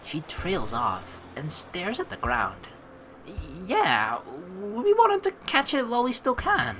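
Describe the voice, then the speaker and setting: A woman's voice: medium pitch, huge pitch swings, takes it slowly, a bit bright, a little hiss or hum. A woman hosting a gaming video, reading game narration aloud and reacting in a chatty, theatrical way. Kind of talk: let's play commentary and read-aloud game narration. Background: faint game music.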